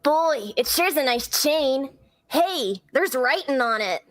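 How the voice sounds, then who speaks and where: A woman's voice: high voice, animated, slow pace, dry recording. A woman playing a curious, eager eight-year-old girl in a vintage radio drama.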